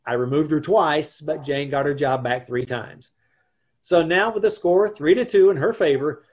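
A man's voice: on the high side, a little mellow, slightly rough. A middle-aged man playing a dry, wry, put-upon advertising man and husband in an old-time radio comedy, sometimes stammering. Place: indoors at home on a video call.

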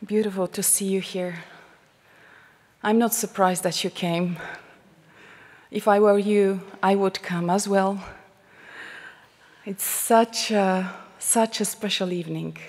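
A religious sister with a Polish accent giving a heartfelt talk to a congregation, slowing to a hushed tone when she prays. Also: medium pitch, steady tone. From Poland. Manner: slow, heartfelt, drops to a hushed near-whisper in prayer. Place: large church shrine. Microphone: headset mic. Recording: long church reverb.

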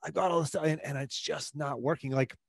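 Earnest, animated coach in a dry room. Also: male voice, fairly high pitch, a little fast, creaky, no room sound. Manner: earnest, animated, gestures while talking. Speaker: guest, performance coach. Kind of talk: interview answers. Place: home office. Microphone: studio mic in front of him.

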